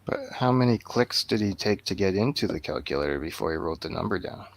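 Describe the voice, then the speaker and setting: A man with a lowish voice that crackles with fry chimes in casually. His remarks are short, dry and teasing, tossed into a group conversation. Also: lively intonation, fairly quick. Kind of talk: brief casual interjections in group chat.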